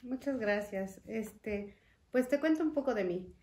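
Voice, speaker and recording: A young woman from Chiapas, a guest in an interview, speaking earnestly and in a measured way with frequent fillers, at a desk mic. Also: on the high side, darkish tone. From Mexico, Chiapas. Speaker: interview guest, foundation representative. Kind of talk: conversational interview. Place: studio room with wood panels and glass. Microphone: desk mic on stand. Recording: echoey room.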